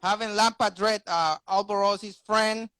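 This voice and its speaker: A man's voice: sits high, fairly even intonation, normal speed, bright, forceful tone, dry recording, studio quality. A man from Rica, hosting a casual live video chat in English and often repeating words as he searches for them. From Costa Rica. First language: Spanish. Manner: rambling, repeats words while finding them. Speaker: live stream host.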